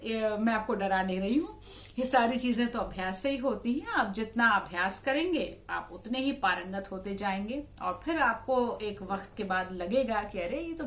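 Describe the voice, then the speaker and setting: A woman talking to viewers in an explanatory, conversational vlog style, restating points for emphasis. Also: mid-range voice. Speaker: radio jockey and vlogger.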